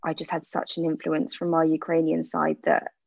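A woman's voice: low-pitched, monotone, normal speed, gravelly, a little mellow, no echo. A woman, chatting candidly in an interview with frequent fillers. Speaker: interview guest, aesthetic practitioner. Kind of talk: casual interview answers. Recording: dull lo-fi sound.

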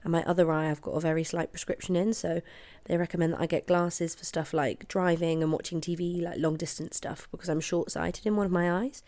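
Hoarse, gravelly woman chatting. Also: fairly low pitch, fairly even intonation, fairly quick, a little mellow. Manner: chatty and apologetic, with frequent laughs. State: hoarse from a cold. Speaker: podcast host. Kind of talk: casual solo chat. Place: flat at home, late at night. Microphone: headphones and podcast mic.

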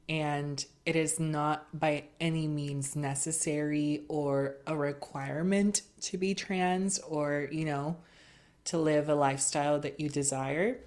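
A young woman as an interview guest, talking in an earnest, measured way with many fillers. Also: low-pitched, a little mellow. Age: young adult. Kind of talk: casual interview chat.

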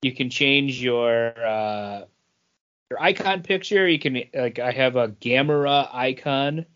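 A man's voice: medium pitch, takes it slowly, a bit bright, dull audio. A man co-hosting a podcast, speaking in a hesitant, filler-heavy casual way. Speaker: podcast co-host. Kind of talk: casual chat.

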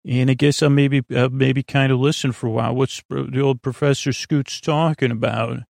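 A man hosting a bedtime podcast in a meandering, hesitant, reassuring monologue, with a creaky voice. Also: steady tone, normal speed, a little mellow, no room sound, studio quality. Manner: low-pressure, reassuring. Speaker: podcast host.

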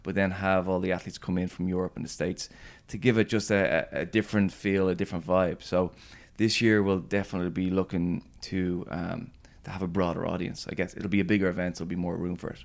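Irish male organizer, very deep voice, laid-back. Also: fairly even intonation, fast talker, vocal fry, few pauses. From Ireland. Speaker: event organizer, guest. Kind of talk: casual interview chat.

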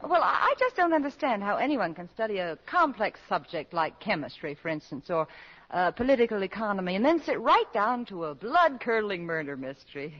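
A woman's voice: medium pitch, very animated, a little fast, a bit bright. An actress playing a flustered, polite widowed mother who poses as a college freshman, with hesitant, genteel phrasing in 1940s radio style. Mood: chatty, pointed. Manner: hesitant, genteel, full of little exclamations. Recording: muffled, noisy old 1940s radio recording.

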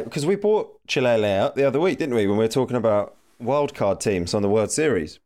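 Lower voiced guy cohosting, chatty, talks it through. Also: a little mellow. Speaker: podcast co-host. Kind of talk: casual podcast chat.

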